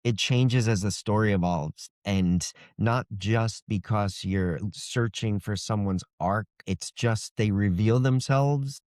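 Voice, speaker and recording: A man's voice: lowish voice, slow pace, few pauses, darkish tone, no echo, studio quality. A man talking casually as an interviewed author, explaining things in a wry, good-humored way. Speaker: fantasy author being interviewed.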